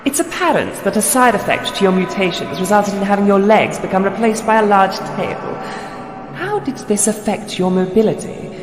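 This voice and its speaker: A woman acts as a detached female research doctor conducting an interrogation. She is formal, clinical and measured, at a middling pitch. Her voice rings with long reverb over music in a comic dub. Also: animated, natural voice. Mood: detached, probing. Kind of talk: fan comic dub acting. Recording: echoey, long reverb, loud music behind.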